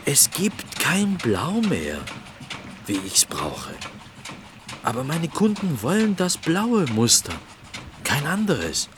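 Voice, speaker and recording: An actor playing an earnest, persistent village potter, pleading and insistent, in a German radio play with background noise. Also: male voice, on the high side, wildly swooping pitch, slow, full character voice.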